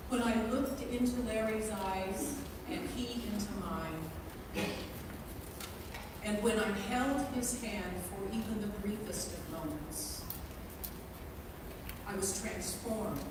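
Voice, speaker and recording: Echoey, slow-speaking woman at a memorial service. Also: medium pitch. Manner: deliberate, reflective phrasing. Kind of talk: devotional reading and memorial tribute. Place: large reverberant indoor church space. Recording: echoey hall, distant mic, clear background noise.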